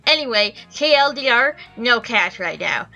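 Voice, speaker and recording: A high, bright voice for a smug, entitled young guy played by a woman, boasting pompously and whining like a self-important video creator. It is a visual novel dub with music underneath. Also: lively intonation, moderate pace, rough recording. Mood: indignant, boastful.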